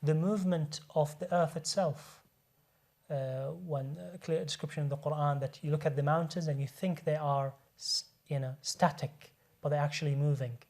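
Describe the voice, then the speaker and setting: A man's voice: a little high, steady tone, normal speed, a little mellow. A man who works as a doctor, speaking as a guest in a studio discussion and explaining his points earnestly, often repeating words as he restarts a sentence.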